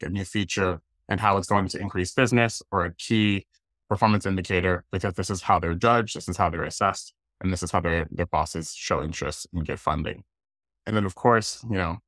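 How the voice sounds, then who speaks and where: American male speaker, thirties, lower register, conversational presenter, very dry recording. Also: fairly bright tone, no room sound. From Washington, D.C., United States. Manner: casual, conversational. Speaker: guest speaker, design leader. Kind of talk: informal online presentation. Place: indoors, plain room on a video call.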